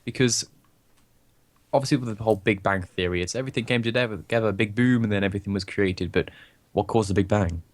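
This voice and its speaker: A croaky-voiced young man chatting openly and rambling as co-host of a casual podcast. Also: on the low side, fast. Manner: rambling, open, laughs often. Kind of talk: casual chat.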